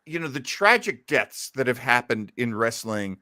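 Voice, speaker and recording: A man chatting casually and telling stories in an animated, emphatic way. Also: medium pitch, normal speed, no room sound, clean studio sound. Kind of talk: casual chat about pro wrestling.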